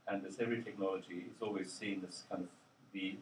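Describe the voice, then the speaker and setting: An older man, a linguist, answering interview questions in a halting, thoughtful way with many pauses and ums. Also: deep voice, fairly even intonation, a little hiss or hum. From Australia. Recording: roomy, distant mic with faint ambience.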